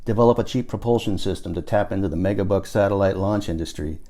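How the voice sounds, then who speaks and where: A man's voice: sits low, fast, warm tone. A man reading his own book aloud as first-person audiobook narration.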